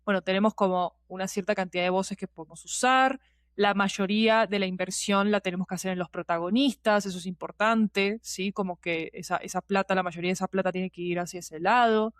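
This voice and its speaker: Woman, mid-pitched voice, podcast guest, talkative and digressive, emphatic repetition, very dry sound. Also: fairly even intonation, brisk, no room sound. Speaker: podcast guest, scriptwriter and producer. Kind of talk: conversational interview answers.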